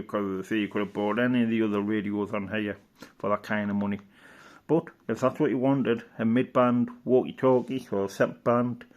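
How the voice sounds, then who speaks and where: A man's voice: medium pitch, a little mellow. A man giving casual, rambling commentary as he reads through an old catalogue, hesitant and thinking aloud. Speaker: video presenter.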